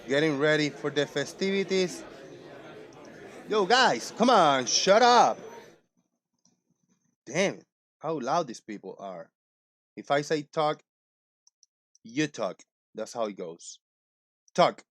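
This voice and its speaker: A man in his forties hosting a casual livestream, talking in a loud, joking, rambling way. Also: a little high, animated, takes it slowly, long pauses. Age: 40s. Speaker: livestream host.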